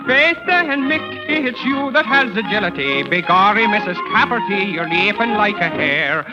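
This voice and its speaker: A man singing a lively Irish comic song on a 1930s radio show. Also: high-pitched, normal speed. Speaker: show's singer. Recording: muffled 1930s radio broadcast with music.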